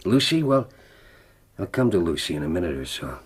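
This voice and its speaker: Deep-voiced, dying older prisoner. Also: male voice, big pitch swings, slightly rough, exaggerated voice. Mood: reflective. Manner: grave, deliberate, dramatic. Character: gravely ill, defiant American prisoner telling his life story. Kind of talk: radio drama storytelling.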